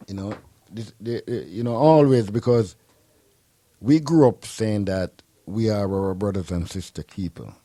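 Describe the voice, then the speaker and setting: Jamaican radio host guy, rambly, lots of "you know", mellow and dry. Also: on the low side, unhurried, no echo. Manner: laid-back, rambling, peppers speech with "you know". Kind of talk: casual radio talk. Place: radio studio.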